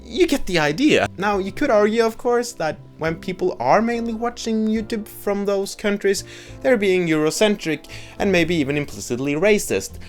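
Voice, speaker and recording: A man's voice: high voice, expressive, moderate pace, faint music behind. A young man with a Norwegian accent, a native Norwegian speaker, giving a blunt, joking opinion monologue as a video host. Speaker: YouTube host.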